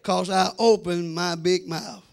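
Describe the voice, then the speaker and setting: A man's voice: sits high, fairly even intonation, a little slow, vocal fry. A middle-aged American man preaching a sermon emphatically, drawing out phrases and addressing his congregation directly. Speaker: senior pastor preaching. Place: church sanctuary before a congregation. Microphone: handheld microphone.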